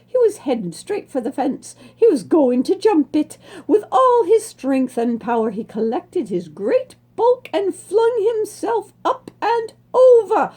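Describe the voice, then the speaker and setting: A woman reading a children's storybook aloud expressively, savoring words with dramatic emphasis and pauses. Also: very high-pitched, very animated, dark tone.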